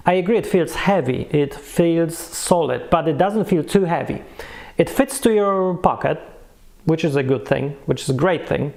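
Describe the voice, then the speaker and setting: A man with a slightly high voice and a distinctly creaky, gravelly edge talks through a review in a casual, unscripted way. He is emphatic and repeats himself to drive points home, with a dry, offhand sense of humour. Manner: animated, emphatic, repeats words for effect. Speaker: product reviewer.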